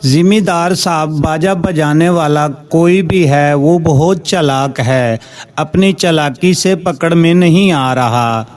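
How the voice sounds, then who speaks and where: Cartoon village servant and crier, played by a man: dutiful, high-pitched, measured delivery. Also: darkish tone. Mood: deferential, reporting. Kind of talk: children's cartoon moral story.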